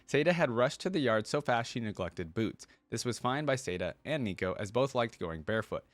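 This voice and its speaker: A man hosting a reaction video, reading a story aloud with animated delivery and breaking off for casual comments. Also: medium pitch, brisk, no echo.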